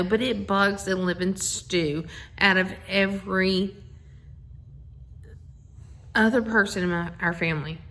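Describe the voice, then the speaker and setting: A woman's voice: mid-range voice, a bit bright. A middle-aged woman chats casually and effusively into a phone, rambling through asides as she introduces a bedtime story reading. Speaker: host of a family social media video. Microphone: handheld phone.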